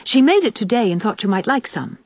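A woman voicing a lively, pleasant, frank young country woman in an audiobook. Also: mid-range voice, fairly quick, dry recording, voice pushed up. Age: young adult. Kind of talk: audiobook character voice.

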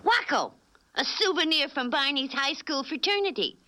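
An actress voicing a perky, giggly housewife and best friend in an animated sitcom. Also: female voice, high-pitched, normal speed. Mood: chatty.

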